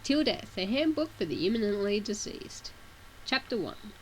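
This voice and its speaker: A woman with a sore throat reads a webcomic aloud at a middling pitch, dropping in chatty asides between passages. A faint hiss sits under her voice on a home mic. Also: expressive, moderate pace, low-quality recording. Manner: casual, chatty asides between read text. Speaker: reader of a webcomic.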